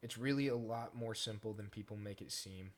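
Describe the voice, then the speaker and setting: A man casually talking through a software tutorial step by step, in an informal way. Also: lowish voice, a little fast. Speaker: tutorial host, gaming content creator. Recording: noisy home recording with keyboard clicks.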